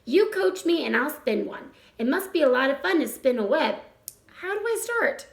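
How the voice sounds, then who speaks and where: Woman reading as a naive young boy pig, boastful, excitable, swooping high pitch, storybook character, roomy echo. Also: moderate pace, creaky, heavily acted. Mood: eager.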